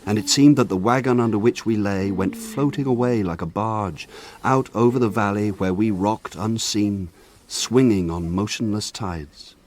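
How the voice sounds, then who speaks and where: An actor narrating a radio drama as a grown man remembering his village boyhood, in a lyrical, measured way. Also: on the low side, darkish tone, a little hiss or hum, natural voice. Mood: wistful.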